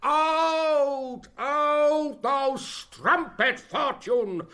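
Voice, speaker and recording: A man playing a travelling stage actor, reciting a tragic war speech in Shakespearean verse with solemn fervour and dramatic, measured declamation. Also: very high voice, pushed and bright, exaggerated voice. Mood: fierce, impassioned.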